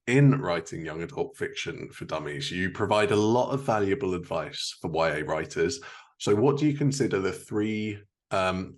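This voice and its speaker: A man hosting a podcast, interviewing a guest in a conversational style. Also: low-pitched.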